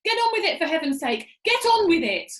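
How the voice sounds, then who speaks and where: Woman as a bossy, excitable shouting monkey dad. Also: very high-pitched, brisk, echoey room, voice pushed up, heavily acted. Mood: urgent, bossy. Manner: shouting, commanding, impatient. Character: bossy, excitable monkey father barking frantic orders.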